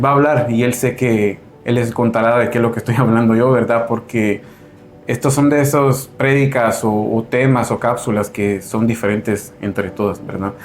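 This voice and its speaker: A man hosting a religious video program, introducing a guest in an earnest, preacher-like way. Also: medium pitch. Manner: earnest, preacher-like with frequent "¿verdad?". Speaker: host.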